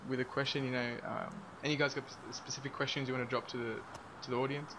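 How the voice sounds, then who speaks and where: A man's voice: medium pitch, level tone, fast, noisy background. A man hosting a casual online podcast, laid-back and full of fillers, laughing now and then. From Australia. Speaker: podcast host. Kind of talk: casual group chat.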